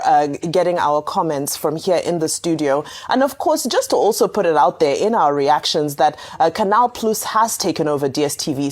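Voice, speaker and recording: A woman's voice: fairly low pitch, a little mellow. A woman presenting a TV news show, reading reports and viewer reactions in broadcast style. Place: TV studio.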